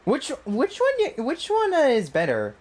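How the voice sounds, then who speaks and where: A woman's voice: high-pitched, huge pitch swings, moderate pace, a little mellow. A woman livestreaming a game with casual, slangy, exclamatory reactions and commentary. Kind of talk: casual live gameplay commentary, reading dialogue choices. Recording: game music behind.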